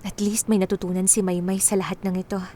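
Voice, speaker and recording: A woman playing a kind, composed doctor who was once a star, speaking gently and conversationally in a radio drama. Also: medium pitch, level tone, quick pace, noisy background, lightly acted. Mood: caring.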